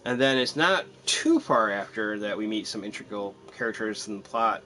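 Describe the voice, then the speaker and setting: A man's voice: lowish voice, lively intonation, moderate pace, bright, forceful tone, a little hiss or hum. A man casually commentating on his live game stream, chatty and self-deprecating, thinking aloud as he plays.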